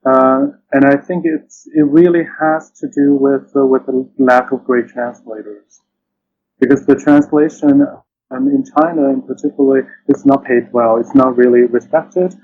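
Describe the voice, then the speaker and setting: A man answering interview questions as a guest, conversational and halting, often repeating phrases. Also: medium pitch, fairly even intonation, darkish tone, husky, highs cut off. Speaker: podcast guest, literary translator.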